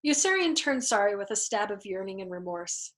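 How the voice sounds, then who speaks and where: A woman's voice: mid-range voice, sing-song, brisk, fairly bright tone. A woman narrating a novel as a storyteller, in an audiobook style. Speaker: storyteller reading a novel aloud.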